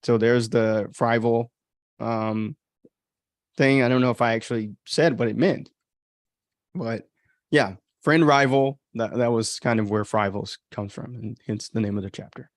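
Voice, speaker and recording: A man's voice: medium pitch, fairly even intonation, a little slow, no echo, clean studio sound. An American man giving casual, off-the-cuff commentary as a podcast host, chatty and informal with reflective asides.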